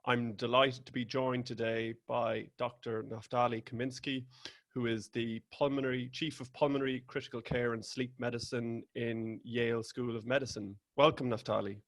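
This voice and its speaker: A man's voice: medium pitch, flat delivery, normal speed. Man hosting a video chat. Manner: friendly, hesitant questions. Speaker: host. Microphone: video call.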